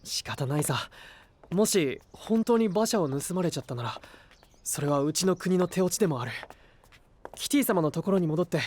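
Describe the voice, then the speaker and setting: Man acting calm, responsible young knight. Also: on the high side, moderate pace, a little hiss or hum, close to an everyday voice. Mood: resigned, practical. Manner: level-headed, practical. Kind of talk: anime voice drama acting.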